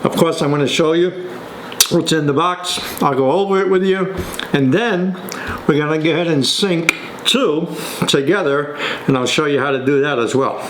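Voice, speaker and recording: A man's voice: fairly high pitch, moderate pace. A man giving a casual, step-by-step product walkthrough in an instructional way. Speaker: product demonstrator. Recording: echoey room with faint noise.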